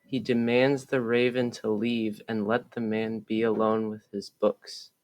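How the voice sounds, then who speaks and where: A man's voice: on the low side, level tone, unhurried, darkish tone. A young man, a student, reading a scripted summary of a story aloud. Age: teens or young adult. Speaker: student reader.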